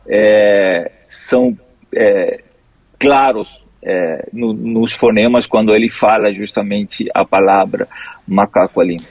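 A man speaking as a forensic expert in an interview, giving hesitant, measured explanations in a husky voice. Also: fairly low pitch, a little mellow, no echo, highs cut off.

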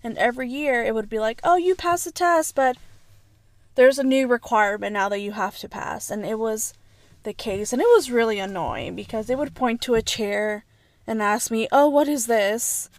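A woman's voice: on the high side, moderate pace, no room sound. A young woman whose first language is Spanish, chatting earnestly as a podcast co-host and telling stories from her own life. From United States. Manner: earnest, reflective, storytelling. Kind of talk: casual chat.

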